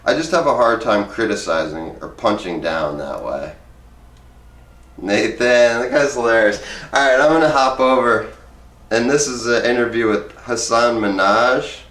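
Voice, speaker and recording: A man's voice: fairly low pitch, a bit bright. A man reading aloud and commenting casually, with an informal way of talking. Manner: informal, rambling, halting when speaking off the cuff. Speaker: host reading book excerpts aloud and commenting. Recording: roomy echo, distant from the mic.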